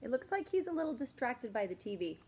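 A woman in her forties, a teacher, reading a picture book aloud from indoors and pausing to explain the pages, with a slow, emphatic parent's voice for the scolding lines. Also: fairly high pitch, sing-song, a little mellow, slightly rough. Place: indoors, small room before a plain wall.

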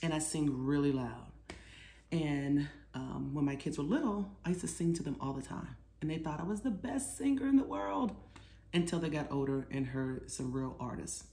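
A woman's voice: deep voice, warm tone. An American woman in her forties talking casually to children as a storytime presenter, encouraging them and asking questions. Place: small living room at home, indoors. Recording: echoey room with clear background noise.

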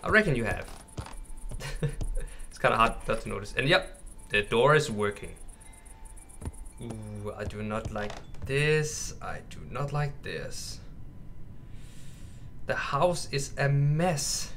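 Nervous guy gamer chatting thru a horror game, bright voice, noisy ambience behind him. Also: medium pitch, lively intonation, slow, pauses often. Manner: nervous, addresses viewers as bros and mate. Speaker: gaming YouTuber. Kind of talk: casual horror game playthrough commentary. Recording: clear background noise with game ambience.

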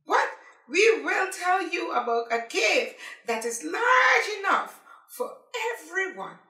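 A woman's voice: very high voice, very animated, slow, pushed and bright, reverberant, distant mic, voice pushed up, heavily acted. A woman voicing a group of small, friendly rock creatures who speak eagerly and reassuringly.

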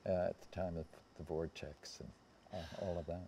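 An American man in his seventies reminiscing in anecdotes on a panel, haltingly, often trailing off and circling back. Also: low-pitched, little pitch movement, gravelly, noisy background. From United States. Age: 70s. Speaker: panel guest recalling personal memories. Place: seated on a theatre stage.

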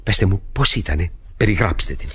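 An actor playing an anxious, desperate man who keeps pleading, with a husky voice, in an old radio play. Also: low voice, animated, a bit bright, exaggerated voice. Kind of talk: Greek radio theatre acting.

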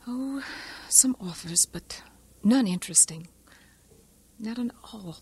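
A woman's voice: mid-range voice, expressive, takes it slowly, mellow, slightly rough, heavily acted. An actress playing an earnest, shy young missionary woman in an audio drama, hesitant and confiding.

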